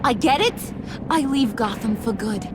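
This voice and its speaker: A woman's voice: on the high side, expressive, measured pace, background noise. An actress voicing a volatile, brassy female criminal in a video game. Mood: cocky, menacing. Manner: sassy, slangy, theatrical taunting.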